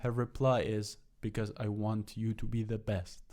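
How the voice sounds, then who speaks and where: A man's voice: lowish voice, flat delivery, moderate pace, warm tone. A man from Iran in casual podcast conversation, speaking earnestly and reflectively. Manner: reflective, earnest, quotes his mother.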